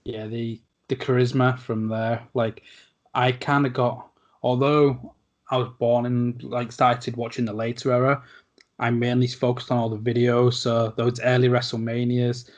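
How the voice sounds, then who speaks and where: A man's voice: medium pitch, little pitch movement, a little slow, highs cut off. A man hosting a casual podcast interview, chatty and jokey, with repeated "like".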